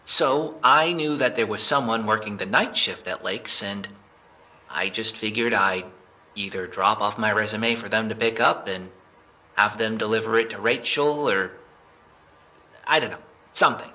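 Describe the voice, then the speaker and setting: Bright-voiced, hesitant young man under questioning acted by a man. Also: lowish voice. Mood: nervous explaining. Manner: hesitant, halting, defensive. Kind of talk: naturalistic audio drama acting.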